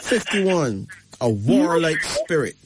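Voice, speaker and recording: A man's voice: on the high side, big pitch swings, slow, very noisy. A man hosting a show, giving an emphatic numerology reading and checking in with the caller again and again. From Barbados. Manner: emphatic, repeats "right?" to the caller. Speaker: radio host.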